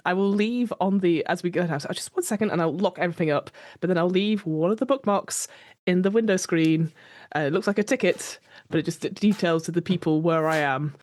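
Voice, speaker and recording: A woman's voice: lowish voice, a little fast, a little mellow, no room sound, close to an everyday voice. A woman playing a nosy, flustered investigator in an improvised tabletop roleplay, hesitant and rambling as she breezily bluffs. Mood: flustered, bluffing.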